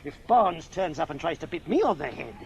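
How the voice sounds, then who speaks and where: An actor playing a dissolute, drunken village squire in a British radio drama, with an upper-class English accent and a mocking, pompous manner. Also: male voice, fairly high pitch, big pitch swings, brisk, a little mellow. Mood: scornful, amused.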